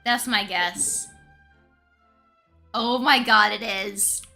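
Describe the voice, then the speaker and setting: A woman's voice: medium pitch, extremely slow, vocal fry, sharp tone. A woman streamer giving gushing, slangy, casual reaction commentary on a game. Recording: game music behind the voice.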